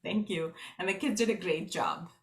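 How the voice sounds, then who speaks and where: A woman's voice: lowish voice, normal speed. A woman language teacher giving friendly, casual remarks over a video call, with fillers. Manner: friendly, with light laughs and fillers. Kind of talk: casual remarks in a virtual meeting.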